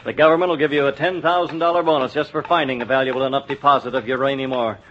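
An actor playing a polite store clerk who explains earnestly and patiently, in 1950s radio comedy style. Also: male voice, mid-range voice, fairly even intonation, very fast, close to an everyday voice. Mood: keen. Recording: dull, faintly noisy vintage radio recording.

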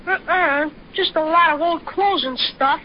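A woman's voice: very high voice, measured pace. A woman playing an eager, curious young boy in a 1930s radio drama. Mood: excited. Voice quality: excitable, exclaiming. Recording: dull old radio transcription with faint hiss.

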